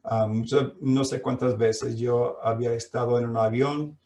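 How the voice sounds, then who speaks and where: Slow, gravelly man preaching in an echoey room. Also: mid-range voice, steady tone, darkish tone, a little hiss or hum. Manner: slow preaching with frequent fillers and restarts. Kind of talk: sermon. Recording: echoey room, mic at a distance.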